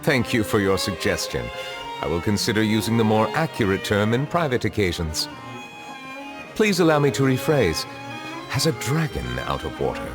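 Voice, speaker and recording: A man voicing a dignified, composed dragon judge in a video game, speaking in a formal, measured, earnest way. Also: fairly low pitch, big pitch swings, music in the background. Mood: calm, polite. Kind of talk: scripted video game voice acting.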